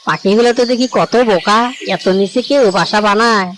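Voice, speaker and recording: A man's voice: sits high, moderate pace, a bit bright, slight background noise, pitched above the performer's own voice. A man voicing a sly, gloating fox in a children's cartoon, with a sing-song manner. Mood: scornful, pleased.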